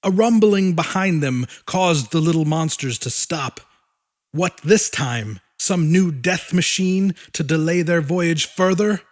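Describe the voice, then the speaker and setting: A man's voice: a little high, lively intonation, slow. A man narrating a fiction audiobook in a dramatic, scripted storytelling style.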